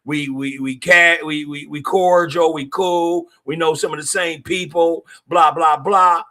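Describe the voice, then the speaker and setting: A man's voice: on the high side, fairly even intonation, unhurried, bright, forceful tone. A middle-aged man, hosting a podcast and speaking bluntly in a streetwise, opinionated way. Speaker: podcast host. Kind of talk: casual monologue podcast.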